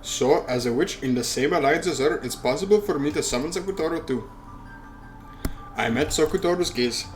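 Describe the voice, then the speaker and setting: A man's voice: on the high side, a little fast, soft background music. A man who hosts a let's play reads a visual novel aloud and adds casual asides with swearing.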